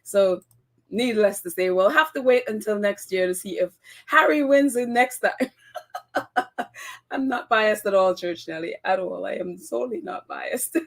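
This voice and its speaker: A woman hosting a casual solo podcast, her voice croaky and congested from a cold, chatty with frequent laughs and ums. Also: medium pitch, measured pace. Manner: chatty, giggly, lots of ums. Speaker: podcast host.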